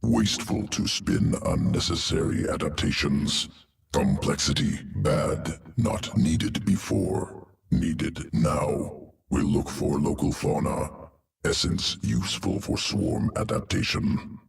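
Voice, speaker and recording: An actor playing a cold male alien scientist who speaks in clipped, broken phrases with a gravelly voice. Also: a bass voice, very animated, slow pace, full character voice. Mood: clinical.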